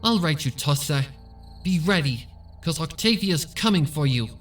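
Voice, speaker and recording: A man's voice: fairly high pitch, expressive, normal speed, soft background music, pitched above the performer's own voice. A man voicing a frightened female pony who puts on a defiant, dramatic front in a narrated story. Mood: shaky defiance. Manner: dramatic, blustering through fear.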